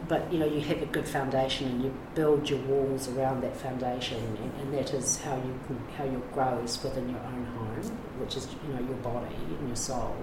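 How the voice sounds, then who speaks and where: Very low-voiced New woman. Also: fairly even intonation, normal speed, hardly any pauses. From New Zealand. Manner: frank, rambling, many fillers and restarts. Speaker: addiction recovery navigator. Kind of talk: candid interview talk. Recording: echoey room with background noise.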